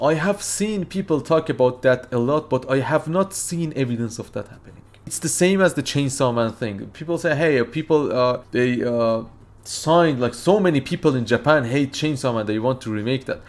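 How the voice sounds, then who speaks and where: A man whose first language is Farsi, hosting a casual reaction video and arguing his point in a rambling, excitable way with many fillers. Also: medium pitch.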